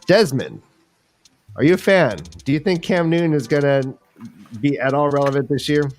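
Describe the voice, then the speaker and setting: A man's voice: fairly high pitch, moderate pace, soft background music. A man hosting a casual fantasy football livestream, chatting in a jokey, colloquial way and often addressing listeners directly. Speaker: host.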